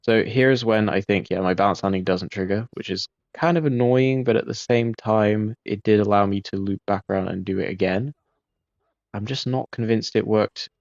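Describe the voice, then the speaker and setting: Man, relaxed game commentary, self-critical, lots of fillers, low voice, gravelly. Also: normal speed, no echo, dull audio. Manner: laid-back, self-critical, frequent fillers. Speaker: gaming video creator.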